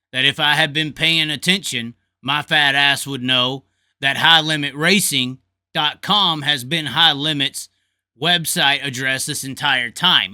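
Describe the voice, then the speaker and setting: Man, talk show host, very bright forceful voice, slightly high pitch, blunt opinionated casual talk, folksy, repeats himself for emphasis.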